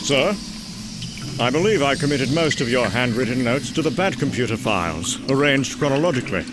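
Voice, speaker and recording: A man playing a dutiful older butler, formal, deferential and gently reproving, in a comic book drama. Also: mid-range voice, expressive, brisk, noisy background, close to an everyday voice. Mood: concerned. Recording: loud music under the voice.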